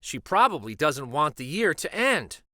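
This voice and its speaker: Man voicing an eager, high-pitched schoolkid. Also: sing-song, moderate pace, pushed and bright, no echo, clean studio sound. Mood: earnest. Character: earnest, excitable young schoolchildren chatting among friends.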